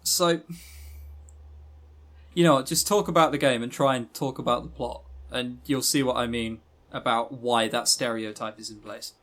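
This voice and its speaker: A man co-hosting a podcast in casual chat, with a sarcastic, sweary, animated way of talking. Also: medium pitch, moderate pace. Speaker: podcast co-host. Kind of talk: casual chat reviewing visual novels.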